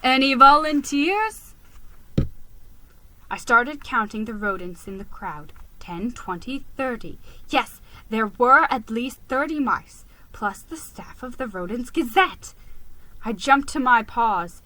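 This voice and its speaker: A woman with a bright, fairly high voice reads a children's story aloud. Her delivery is theatrical and expressive, at an unhurried, slow pace. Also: rough recording. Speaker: storybook reader. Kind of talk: dramatic reading of a children's story.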